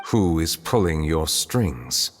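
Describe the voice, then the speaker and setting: An actor playing a grave, formal, ancient male chief justice in a video game, speaking in a measured, restrained way. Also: rumbling low, big pitch swings, exaggerated voice. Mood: stern, probing. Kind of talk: video game cutscene acting. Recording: long reverb, faint music behind.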